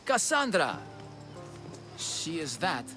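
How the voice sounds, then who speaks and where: An actor playing a proud, hard-bargaining ship seller in a video game, hearty and boastful. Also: male voice, on the high side, wildly swooping pitch, takes it slowly, sharp tone, faint music behind, heavily acted.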